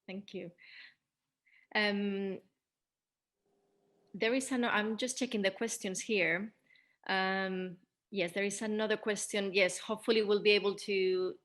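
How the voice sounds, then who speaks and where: A woman's voice: mid-range voice, a bit bright. A woman moderating a live Q&A, putting questions with informal, hesitant phrasing. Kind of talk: hosting a live Q&A.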